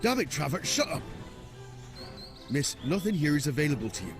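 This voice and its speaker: A man's voice: on the high side, sing-song, moderate pace. A man playing a pragmatic bandit leader in a video game, firm, authoritative and weary of squabbling. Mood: exasperated. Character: gruffly commanding, pragmatic male bandit leader. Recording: music behind.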